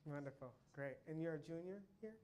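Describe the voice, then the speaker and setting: A man's voice: on the high side, darkish tone, a little hiss or hum. A man, a professor answering audience questions at a public lecture, speaking in long, careful, thought-out sentences. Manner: measured, thinking aloud in long careful sentences.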